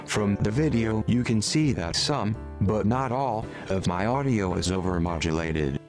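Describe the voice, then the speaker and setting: Low-voiced man narrating a tutorial over music. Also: lively intonation, unhurried. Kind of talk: scripted instructional narration. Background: background music.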